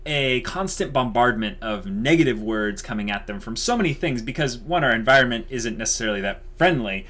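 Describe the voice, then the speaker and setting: A man's voice: medium pitch, animated, a little fast, fairly bright tone. A man co-hosting an online channel, talking casually and off the cuff in an enthusiastic, emphatic, informal way. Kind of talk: casual unscripted channel update.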